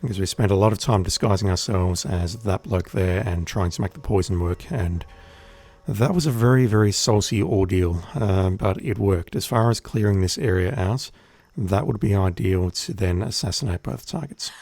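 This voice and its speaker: An Australian man giving relaxed, chatty commentary as he plays a game on stream, with a wry humor. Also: deep voice, moderate pace. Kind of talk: casual gameplay commentary.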